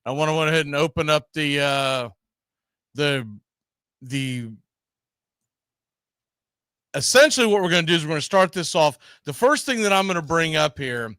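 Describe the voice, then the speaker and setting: Male talk host, slightly high register, casual and rambling delivery, close dry sound. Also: pushed and bright, no room sound. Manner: informal, rambling. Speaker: host of a baseball talk show. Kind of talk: casual live show chat.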